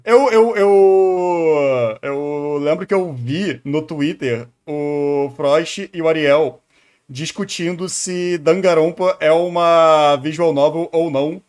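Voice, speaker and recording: Slightly high-voiced Brazilian man, joking streamer. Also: slow, a little mellow. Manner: slangy, joking. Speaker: streamer reacting to a video. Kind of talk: casual reaction chat, talking to viewers.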